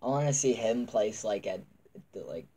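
A man's voice: fairly low pitch, lively intonation, normal speed, crowd noise. A young man in casual chat, teasing and sarcastic, recorded by a webcam in a small room. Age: young adult. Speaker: co-host. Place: small dim room indoors.

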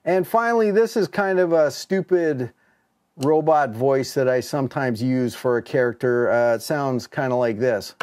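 A man's voice: medium pitch, moderate pace, darkish tone. A middle-aged man giving a casual, conversational hands-on demonstration as a video host. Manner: conversational, explanatory. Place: home studio workshop, indoors.

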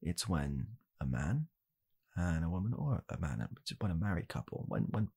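A young man playing a blunt, callous father in an ASMR comedy roleplay, speaking softly and haltingly in a deadpan way. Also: deep voice, gravelly, husky, exaggerated voice. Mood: awkward, grave. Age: young adult. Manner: soft-spoken, halting, deadpan. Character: blunt, callous father calmly blaming his child. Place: small home room, indoors.

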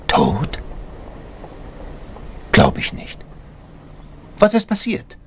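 Husky, self-important English gentleman. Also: a little high, huge pitch swings, extremely slow, exaggerated voice. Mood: shocked. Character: stiff, self-important upper-class English gentleman politician. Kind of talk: German retro crime radio drama.

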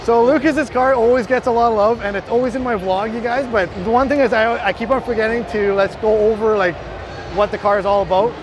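A man in his thirties talks casually and cheerfully into a handheld camera, laughing and teasing between points. His voice sits high, and a constant wash of crowd chatter and hall noise surrounds him in a large indoor show space. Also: fairly even intonation, fast talker. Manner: upbeat, laughs often, teasing. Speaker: vlogger host. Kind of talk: casual vlog chat. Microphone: handheld camera held close.

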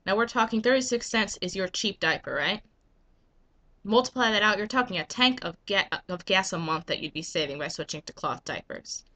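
A woman's voice: medium pitch, animated, brisk, pushed and bright. A young woman with a cold, making an earnest, emphatic case to her webcam with lots of rhetorical questions. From United States. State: getting a cold. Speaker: vlogger and business owner. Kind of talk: persuasive webcam vlog. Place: small indoor room at home. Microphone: webcam at desk.

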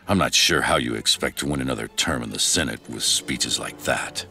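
Very deep, gravelly elder. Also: male voice, moderate pace, sharp tone, far from the mic, faint music behind, full character voice. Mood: dry scorn. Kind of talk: video game cutscene acting.

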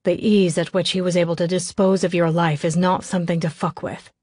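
A woman's voice: on the low side, little pitch movement, fairly quick, darkish tone, dry recording, studio quality, natural voice. A woman narrator voicing a fierce, protective male lover with an intense, demanding manner in an audiobook. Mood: controlled anger.

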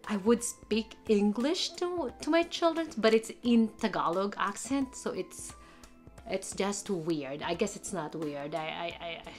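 A woman in her forties from the Philippines with a strong Filipino accent, chatting casually and rambling to a webcam in her living room. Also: on the low side. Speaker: vlogger. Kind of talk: casual talk to camera. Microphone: webcam or phone at arm's length.

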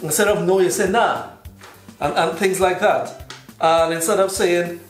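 A man's voice: sits high, a little slow. Man with a Trinidadian accent. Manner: relaxed, explanatory, gestures while talking. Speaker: YouTube host teaching. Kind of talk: casual talking-to-camera lesson. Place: indoors in front of a plain backdrop. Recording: echoey room with faint music.

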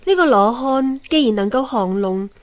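Woman acting a high-voiced, coaxing wife. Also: animated, normal speed, lightly acted. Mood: advising. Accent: Hong Kong Cantonese. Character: wise, gently advising wife of a sculptor. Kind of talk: Cantonese radio drama acting.

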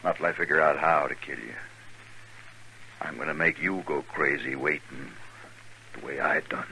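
A vengeful Old West gunman in a radio western. The voice is extremely deep and sharp, drawling with menace, over faint noise on an old recording. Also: steady tone, moderate pace, vocal fry, heavily acted, rough recording. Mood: menacing, vengeful. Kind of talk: 1950s radio western acting.

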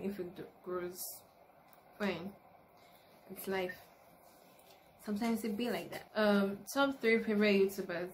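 A young woman with a Nigerian accent talks casually to her camera, answering questions as a new YouTuber. Her voice sits at a middle pitch for a woman. She is recorded in a room at home, with clear noise behind her. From Lagos, Nigeria. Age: early 20s. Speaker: YouTuber, university student. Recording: noticeable background noise, room ambience.

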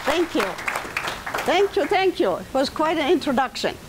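An older woman with a heavy German accent, giving a loud, projected talk to an audience in a library meeting room. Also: high-pitched, sing-song, normal speed, a crowd in the background, noisy background. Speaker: guest speaker. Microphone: far camera.

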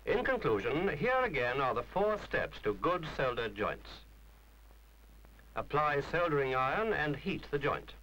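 A man's voice: on the high side, big pitch swings, a little slow, sharp tone, poor audio. A man reading a scripted instructional film narration in a formal, precise, didactic manner.

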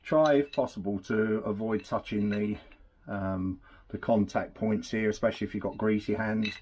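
A man's voice: lowish voice, moderate pace, a little hiss or hum. A man talks casually through a repair in a workshop while he works at a bench. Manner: relaxed, chatty, talks while working with hands. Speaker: scooter mechanic demonstrating a rebuild. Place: indoor workshop at a workbench. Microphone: camera on the bench, speaker off to the side.

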